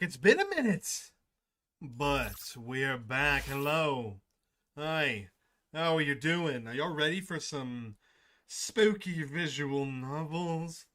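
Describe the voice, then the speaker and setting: A man's voice: fairly high pitch, pushed and bright. A man streaming from home on a desk microphone, chatting casually and upbeat with his viewers, rambling with restarts. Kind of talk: casual livestream chat.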